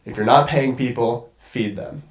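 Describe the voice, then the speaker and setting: A man's voice: medium pitch, a little slow. A young man with a congested voice talks casually as a guest, rambling, restarting his sentences and swearing freely. The sound carries a roomy echo around him. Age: young adult. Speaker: guest cinematographer. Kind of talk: casual podcast conversation.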